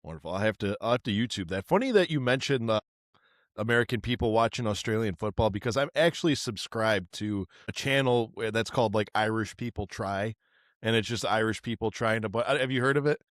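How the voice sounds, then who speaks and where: A man's voice: fairly low pitch, brisk, no room sound, studio quality. A man hosting a podcast in casual chat, stammering and repeating words as he builds his questions. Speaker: podcast host.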